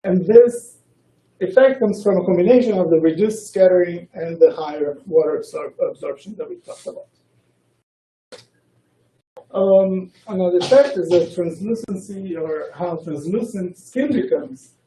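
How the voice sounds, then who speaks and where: A man's voice: sits high, animated, dark tone, a little hiss or hum. Muffled, echoey male lecturer. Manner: halting, frequent self-corrections mid-word. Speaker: researcher giving a colloquium talk. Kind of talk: academic lecture with slides. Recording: muffled, echoey room, distant mic.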